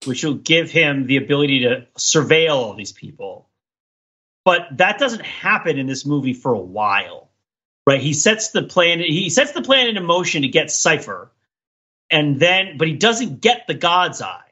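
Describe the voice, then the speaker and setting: A man with a highish voice and very lively intonation, co-hosting a casual podcast. He riffs excitably, groping for the next word, with a husky edge to his sound. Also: moderate pace. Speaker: podcast co-host. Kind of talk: casual chat, riffing. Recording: slightly dull, lo-fi audio.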